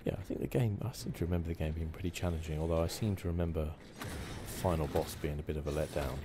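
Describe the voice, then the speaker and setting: A man giving relaxed ASMR game commentary, musing and rambling with a hesitant delivery. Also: a bass voice, fast, background noise. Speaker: gaming channel host.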